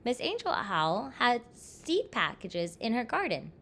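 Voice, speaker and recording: Woman voicing a high, eager, curious young child. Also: wildly swooping pitch, a little slow, a bit bright, full character voice. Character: curious young child questioning mother about the garden.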